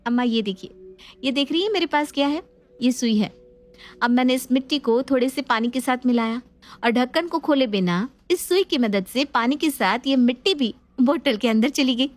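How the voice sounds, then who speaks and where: Woman voicing young bride, earnest, persuasive, high voice. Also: moderate pace, lightly acted. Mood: explaining. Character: young newlywed daughter-in-law, patient and modern-minded. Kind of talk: Hindi family drama acting.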